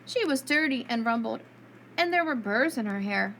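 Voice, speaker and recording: A woman reading a storybook aloud to preschool children in an expressive storyteller's style. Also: a little high, normal speed, slight background noise.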